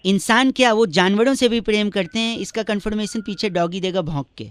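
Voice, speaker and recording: A man's voice: high voice, fairly quick, fairly bright tone, clear, resonant tone. A man making comic prank calls in a mock-polite, teasing and theatrical manner. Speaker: prank caller. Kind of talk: comic prank phone call.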